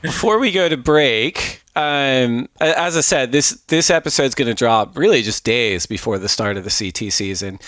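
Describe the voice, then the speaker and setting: A male podcast host with a medium-pitched voice. He interviews in a casual, conversational style, and the recording is dry, with very little room echo. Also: animated, moderate pace, few pauses, a bit bright.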